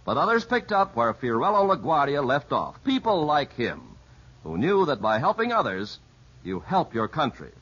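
A man's voice: on the high side, big pitch swings, moderate pace, a bit bright, slightly rough. A man reading scripted narration as a 1950s radio announcer. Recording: dull vintage radio recording.

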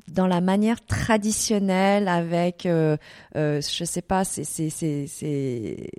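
A woman's voice: fairly low pitch, a little mellow, no echo, clean studio sound. A middle-aged woman from Vietnam answering interview questions about her events business, hesitating often with fillers and restarts. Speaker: desert events business owner.

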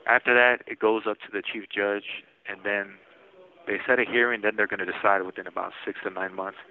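A man in his forties talking casually from prison over the phone, halting and often restarting his words. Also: lowish voice, fairly even intonation. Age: 40s. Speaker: interviewee in prison. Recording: tinny prison phone line.

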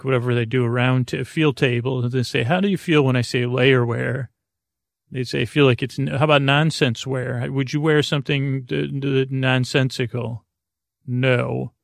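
A man with a gravelly, creaky voice drifts through a meandering bedtime ramble, full of hesitations and half-finished thoughts. He keeps his pitch flat and lulling. The sound is dry and close, with no room around it. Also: no room sound. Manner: meandering, hesitant, deliberately soothing. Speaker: sleep podcast host.

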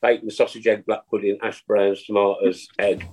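A man's voice: fairly low pitch, flat delivery, normal speed, darkish tone, soft background music, poor audio. A man in his sixties on a video call, chatting casually about football, teasing the others and asking rhetorical questions. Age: 60s. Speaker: podcast panelist. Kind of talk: casual football fan chat.

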